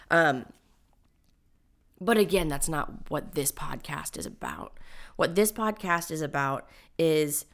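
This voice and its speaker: A woman hosting her own podcast, talking alone in a low, raspy voice, emphatic and a little high-strung. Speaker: podcast host. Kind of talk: solo podcast monologue.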